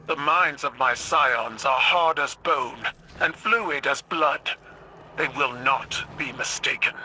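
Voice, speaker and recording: An actor playing a proud alien commander in a video game, formal, measured and sure. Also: male voice, medium pitch, bright, forceful tone, slight background noise, rough recording. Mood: imperious. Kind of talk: scripted video game dialogue.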